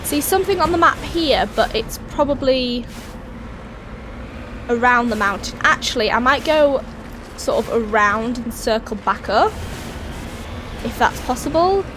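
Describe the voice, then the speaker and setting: Raspy woman with a cold, gaming. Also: fairly high pitch, measured pace, long pauses. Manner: chatty, thinking aloud while playing. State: hoarse with a cold. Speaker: let's play host. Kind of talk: casual gameplay commentary. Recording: voice over steady game engine noise.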